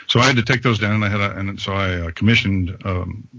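A man with a very raspy, husky voice, giving casual anecdotal answers as a podcast guest. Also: lowish voice, fairly even intonation, fast talker, bright, forceful tone, no room sound, highs cut off. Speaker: podcast guest, fan fiction reader.

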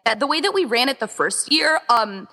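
A woman's voice: on the high side, expressive, a little fast, sharp tone, big-room sound. A woman chatting casually and informally as a podcast interview guest, peppering her talk with "like". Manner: chatty, informal, heavy use of "like". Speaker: interview guest, event co-founder.

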